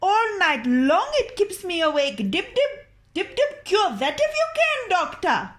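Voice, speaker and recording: Woman doing a shrill, snappish old crone. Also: sing-song, measured pace, fairly bright tone, heavily acted. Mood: mocking. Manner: snapping, wailing. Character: shrill cranky old woman, sharp-tongued.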